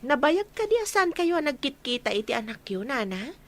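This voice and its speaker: High, sweet young woman, acted. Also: very animated, moderate pace, fairly bright tone, exaggerated voice. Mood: gentle. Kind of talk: radio drama acting.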